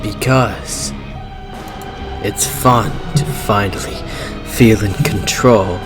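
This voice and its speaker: A man's voice: lowish voice, very slow, music in the background. A man voicing a cocky, hot-tempered giant wolf-dog god in a story, brash and indignant. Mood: smug.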